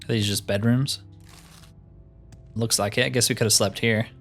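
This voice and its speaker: A man's voice: fairly low pitch, steady tone, normal speed, fairly bright tone, soft background music. A man giving casual let's-play commentary, chatting and thinking aloud as he plays a fantasy game. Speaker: gaming YouTuber playing a fantasy roleplay game.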